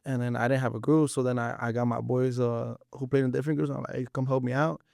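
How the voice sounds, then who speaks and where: A man's voice: medium pitch, little pitch movement, fast, vocal fry, a little mellow, no echo, clean studio sound. A man of about twenty, chatting casually as an interview guest in slangy English mixed with Spanish. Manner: slangy, informal, frequent swearing.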